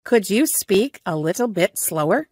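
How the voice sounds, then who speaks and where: A woman teaching English, saying each short everyday phrase several times, slowly and carefully for learners. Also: medium pitch, lively intonation, darkish tone, breathy edge, no echo, clean studio sound. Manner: slow, careful phrase repetition. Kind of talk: repeating phrases for language learners.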